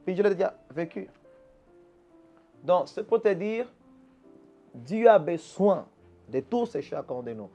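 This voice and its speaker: A man's voice: sits high, very animated, slow pace, pauses often, dark tone, dull audio, faint music behind. A man, a pastor, answering interview questions in an earnest, preaching manner, often repeating words as he restarts a phrase. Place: Quebec.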